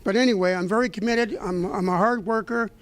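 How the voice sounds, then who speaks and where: A man's voice: sits high, fairly quick, dry recording. A middle-aged man giving closing remarks as a council candidate, speaking earnestly and rambling, often repeating himself. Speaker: election candidate.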